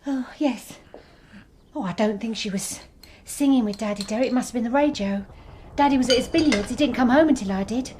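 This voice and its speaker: An actress playing an anxious, eager-to-please wife in a radio drama, timid and hesitant. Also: female voice, a little high, a little fast, darkish tone, slight background noise, close to an everyday voice. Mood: uneasy, gentle.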